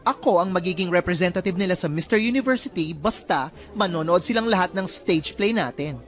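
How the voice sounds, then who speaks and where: A man's voice: high voice, animated, fairly quick, fairly bright tone, faint music behind. A man playing a flamboyant, sassy college theater student in a radio drama, with a campy, playful, swooping delivery. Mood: boastful, sassy. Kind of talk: Filipino radio drama acting.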